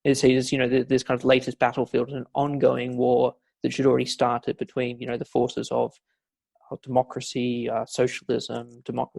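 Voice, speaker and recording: A male historian talks through his subject in a relaxed podcast interview, leaning on fillers and restarting his sentences. His voice has a gravelly edge, sitting at a middle pitch for a man. The recording is close and dry, with no room sound. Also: no room sound. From not from Scotland, Scottish family. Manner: many fillers and restarts, explanatory. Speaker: historian guest. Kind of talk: conversational academic interview.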